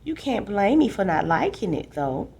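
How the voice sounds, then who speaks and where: A woman's voice: medium pitch, big pitch swings, moderate pace, gravelly, a little mellow, breathy edge, voice pushed up, heavily acted. A woman reading a storybook as a small nine-year-old boy, a pleading, sulky and teasing little brother. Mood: sad.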